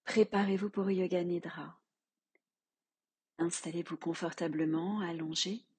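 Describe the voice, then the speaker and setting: A woman teaching yoga nidra, leading a guided relaxation in a soft, calm, measured way with long pauses. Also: on the low side, husky.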